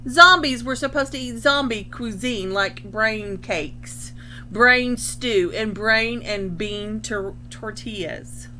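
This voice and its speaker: A woman with a bright, fairly high voice speaks as a school librarian to young children. Her delivery is instructional and explanatory, in the style of a picture-book read-aloud. A light background noise with a hum sits behind her. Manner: explanatory, pausing to define words.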